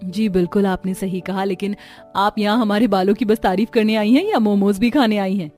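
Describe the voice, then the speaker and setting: A woman's voice: medium pitch, a little fast, darkish tone, soft background music, natural voice. A woman playing a humble, helpful daughter-in-law selling snacks in a cartoon story. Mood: polite.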